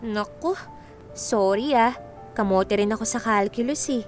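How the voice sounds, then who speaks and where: A woman's voice: on the high side, unhurried, soft background music, close to an everyday voice. A woman playing a friendly, candid college student in a Filipino radio drama, chatty and slangy in manner.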